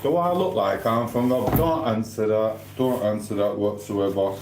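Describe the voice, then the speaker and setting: A middle-aged man from Sheffield, speaking with a broad Yorkshire accent in a somewhat low voice. He rambles and trades mock insults with his live chat in the small hours while cooking. The sound is echoey, as if from a bare kitchen, with faint noise underneath. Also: normal speed. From Sheffield, England. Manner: banter-heavy, mock trash-talking, rambling. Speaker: livestreamer. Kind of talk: late-night live stream chat. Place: home kitchen at night.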